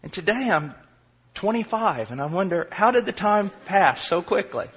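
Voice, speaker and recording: A man in his forties preaching a sermon with passion. He moves from quiet, low passages to shouted peaks and mixes in self-deprecating humor. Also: very animated, normal speed, slightly rough. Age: 40s. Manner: impassioned, swinging from quiet to shouting. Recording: dull lo-fi recording.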